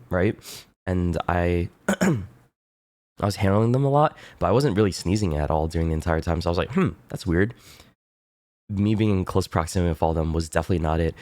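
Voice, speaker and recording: Low-voiced, stuffy-nosed man chatting. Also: no echo. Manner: laid-back, rambling, swears casually, keeps clearing throat. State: congested, stuffy nose. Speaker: streamer. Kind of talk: casual chat with viewers.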